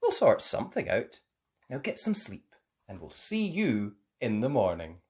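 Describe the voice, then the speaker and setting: A woman voicing a gentle, sensible mother pig who speaks in a soothing, reassuring way. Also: rumbling low, wildly swooping pitch, a little slow, breathy edge, exaggerated voice.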